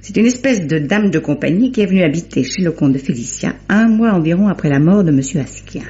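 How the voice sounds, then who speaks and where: A woman's voice: on the low side, expressive, fast talker, dark tone, lightly acted. An actress playing a shrewd, self-assured lady investigator, speaking knowingly and articulately in old-fashioned radio drama style. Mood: confident, explanatory. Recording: dull, faintly noisy old radio recording.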